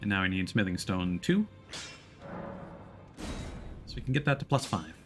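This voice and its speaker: A man's voice: low-pitched, lively intonation, normal speed, over music. A man giving casual, easygoing live commentary as he plays a video game, thinking aloud. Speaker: game streamer.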